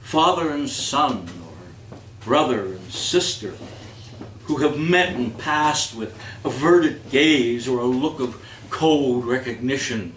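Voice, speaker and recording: A man's voice: mid-range voice, expressive, slow pace, a bit bright. A man hosting a live holiday reading and quiz on stage, with a theatrical, jokey way of talking. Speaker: host of a community holiday reading and song quiz. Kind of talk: live stage reading and playful audience banter. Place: indoor community event before an audience. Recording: echoey room, distant mic, noisy audience.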